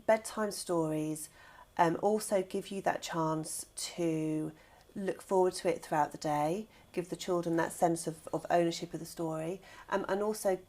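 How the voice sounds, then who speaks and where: A British woman speaks as an interview guest in a slightly low-pitched voice. Her answers are informal and conversational, built in loose phrases as she thinks aloud. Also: steady tone, moderate pace, a little mellow. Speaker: guest, children's author and scriptwriter.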